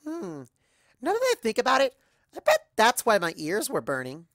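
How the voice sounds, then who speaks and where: A performer voicing a bubbly, excitable young female cartoon pony entertainer in an exuberant way. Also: mid-range voice, moderate pace, no room sound, clean studio sound, pitched above the performer's own voice. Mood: playful, excited. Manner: exuberant, bursting with exclamations.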